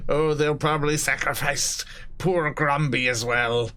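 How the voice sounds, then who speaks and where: A man's voice: fairly high pitch, fairly even intonation, a little slow, bright, forceful tone, voice pushed up. Tabletop game creature: cowering lizard servant played by a man, whiny, moaning, simple broken speech. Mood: grim explaining. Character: whiny, fearful reptilian servant creature, stinky and lowly.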